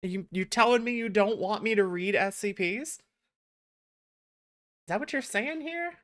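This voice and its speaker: A woman hosting a livestream, chatting casually in an animated, teasing way with some swearing. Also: mid-range voice, measured pace. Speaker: livestreamer, VTuber host.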